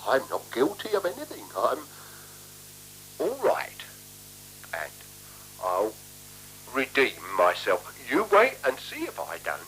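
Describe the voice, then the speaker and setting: A man's voice: medium pitch, huge pitch swings, slow pace, pauses often, bright, forceful tone, full character voice, poor audio. A male actor in a radio drama playing a cowardly, self-excusing man, stammering, groaning and plaintive. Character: whining, cowardly, self-excusing man pleading under threat. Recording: 1970s radio recording with faint hum.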